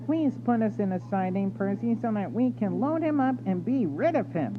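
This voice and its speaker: A man's voice: sits high, animated, normal speed, pitched above the performer's own voice. A man voicing naughty, cheeky railway trucks in a children's storybook, with whining and teasing. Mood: complaining. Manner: whining, teasing, squealing complaints. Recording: narrow phone-like sound with loud noise and hum.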